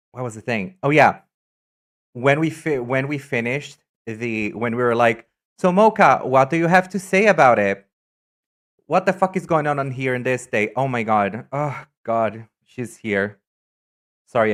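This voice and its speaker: Campy, chatty male streamer from Brazil. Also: mid-range voice. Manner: chatty, campy and excitable, trails off into asides. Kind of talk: casual live-stream chat.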